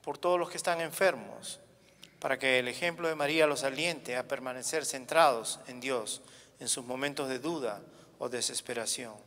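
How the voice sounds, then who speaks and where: Male church reader, formal prayer petitions, bright forward tone, long hall reverb. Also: medium pitch, moderate pace. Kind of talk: formal read-aloud prayer. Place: large church, indoors.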